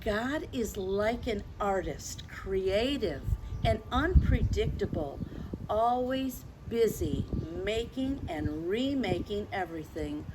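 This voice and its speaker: An older woman, in her sixties or beyond, reading a picture book aloud to children in a gentle, expressive way with conversational asides. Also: medium pitch, slow pace, background noise. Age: 60s or older. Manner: gentle, expressive, addressing children with tag questions. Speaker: children's storyteller.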